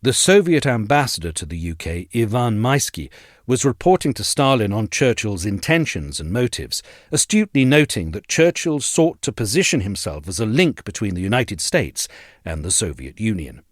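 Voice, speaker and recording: Low-voiced male narrator with expressive intonation. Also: normal speed, dry recording, studio quality. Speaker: narrator reading an essay aloud. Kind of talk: scripted narration of a history essay.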